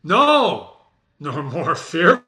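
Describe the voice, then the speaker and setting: Man acting out a proud, defiant tyrant king, bright-voiced. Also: a little high, wildly swooping pitch, drawn-out words, heavily acted. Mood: scornful defiant. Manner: declaiming archaic verse.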